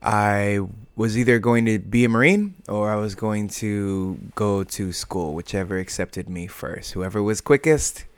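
American male podcast guest, lowish pitch, casual delivery, dry studio-like sound. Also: steady tone, a little slow, few pauses, clean tone, no echo. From United States. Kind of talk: casual conversational interview.

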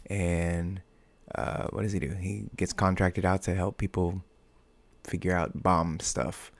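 A man's voice: low voice, little pitch movement, unhurried, vocal fry, no echo. An American man talking casually as a podcast guest, with informal, laid-back phrasing. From United States. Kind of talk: casual conversational interview.

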